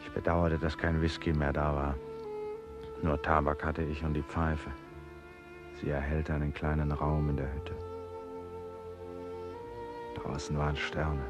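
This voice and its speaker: A brooding, anxious male traveller with an extremely low voice. He narrates his journey in a literary radio play. Music runs clearly behind the words. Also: monotone, measured pace, long pauses. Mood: somber, reflective. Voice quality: very low-pitched. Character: anxious, reflective man recounting his jungle travels.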